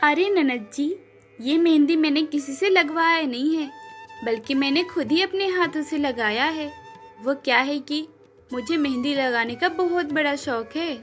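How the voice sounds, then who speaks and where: Woman voicing a gentle young village bride, high-pitched. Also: a little slow, faint music behind. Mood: polite, reassuring.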